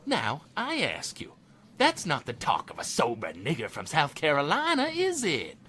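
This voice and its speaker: A man playing a pompous, bigoted Southern defense attorney with a husky voice, orating in a courtroom audio drama. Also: fairly high pitch, huge pitch swings, moderate pace, sharp tone, a little hiss or hum, exaggerated voice. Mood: sneering, insinuating. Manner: pompous, rhetorical, goading.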